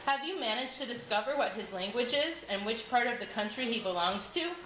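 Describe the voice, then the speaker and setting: A woman's voice: a little high, animated, brisk, fairly bright tone. A woman voicing a curious, uneasy king in a storybook tale, questioning insistently. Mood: eager, doubtful. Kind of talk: storybook character voice. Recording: echoey room with clear background noise.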